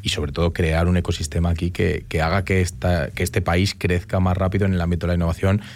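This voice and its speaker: A man's voice: sits low, level tone, fast, darkish tone. A middle-aged man with a Castilian Spanish accent, giving emphatic, conversational answers as an interview guest. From León, northern Spain. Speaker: interview guest, city councillor.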